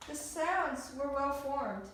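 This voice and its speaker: A woman's voice: high-pitched, animated, slow pace, a bit bright, full character voice. A woman playing a polite, literal robot in readers theatre, speaking in formal, deferential phrases. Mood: curious, puzzled. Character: polite, literal, eager-to-learn household robot. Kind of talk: amateur readers theatre performance. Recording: distant mic, very echoey room, faint noise.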